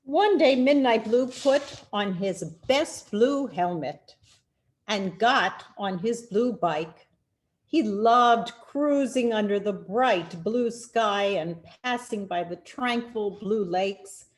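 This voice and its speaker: A woman reading a children's picture book aloud in an expressive, deliberate storytelling manner with dramatic pauses. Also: medium pitch. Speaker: storyteller, rabbi.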